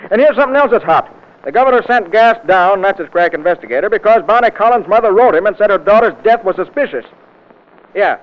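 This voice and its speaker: A slangy reporter from an old radio crime drama. His voice is high for a man, clipped and urgent as he phones in a scoop. The recording is tinny and narrow, like a vintage broadcast. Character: brisk, slangy newsman phoning in a hot scoop. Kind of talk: 1940s-50s radio drama acting.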